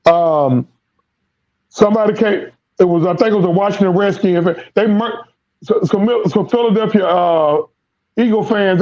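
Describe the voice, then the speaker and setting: Dude, voice going hoarse, kinda high but dark tone, muffled lo-fi sound, rambles and repeats himself. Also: male voice. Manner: rambling, repeats words, Southern American slang. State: losing his voice. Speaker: sports podcast host. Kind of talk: casual sports talk.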